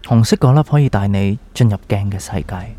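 A man acting a mellow, cryptic doctor. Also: on the low side, lightly acted. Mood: cryptic, grave. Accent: Hong Kong Cantonese. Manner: measured, probing, enigmatic. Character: calm, cryptic male doctor with a secret identity. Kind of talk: Cantonese radio drama acting. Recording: music underneath.

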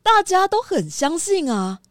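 A woman's voice: very high voice, sing-song, measured pace, no room sound, studio quality, full character voice. A woman voicing a friendly, naive, easily flustered small-town mayor in a children's audio drama, courteous and full of exclamations. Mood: courteous, worried.

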